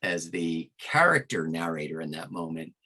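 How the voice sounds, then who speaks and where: A man with a fairly low voice and a very bright, projected edge talks as a guest in an interview. He explains his creative work expansively, leaning on key words with clear enthusiasm. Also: very animated, moderate pace. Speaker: interview guest, audiobook narrator and producer. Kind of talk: conversational explanation of craft.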